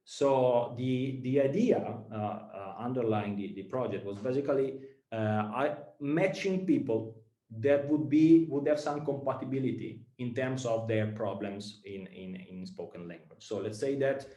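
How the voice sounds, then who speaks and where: A man from Italy with an Italian accent, a startup founder giving a talk to students, speaking haltingly with many fillers and repeated words. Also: medium pitch, warm tone, roomy sound.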